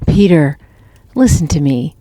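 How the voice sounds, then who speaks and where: A woman playing a wise, tender older mother in an audio drama, speaking in a gentle, reassuring and earnest way. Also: low-pitched, animated, a little slow, no echo. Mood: earnest, consoling.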